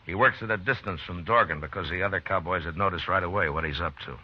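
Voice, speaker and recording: An actor playing a calm, shrewd and grave frontier marshal in an old-time Western radio drama. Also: male voice, sits low, quick pace, slightly rough. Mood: grave, thoughtful. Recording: dull old radio recording.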